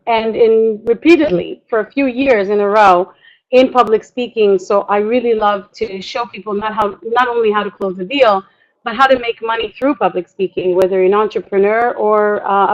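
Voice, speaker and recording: A middle-aged woman from Montreal presenting informally as a coach, enthusiastic and chatty, often halting and interrupting herself. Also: fairly high pitch. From Montreal, Canada; born in Israel. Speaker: business coach and public speaker. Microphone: online webinar audio. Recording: dull lo-fi web conference audio.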